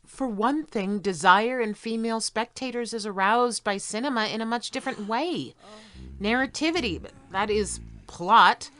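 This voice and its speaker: Defensive, articulate female writer, audio drama. Bright, forceful, emphatic, very dry sound. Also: fairly high pitch, moderate pace, no echo. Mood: indignant, emphatic. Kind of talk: stage-style audio drama acting.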